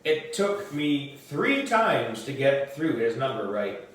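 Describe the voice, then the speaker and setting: A man reading a children's story aloud in an animated way, with dramatic emphasis. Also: medium pitch, takes it slowly. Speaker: audiobook reader for a book club. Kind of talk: expressive children's storybook narration. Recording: roomy echo, distant microphone.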